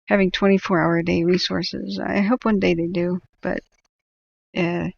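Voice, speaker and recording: A woman hosting a wildfire-tracking livestream, her throat ragged and exhausted, talking to viewers in a rambling, halting way full of fillers. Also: on the low side, flat delivery, gravelly, muffled recording. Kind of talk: casual livestream talk to viewers.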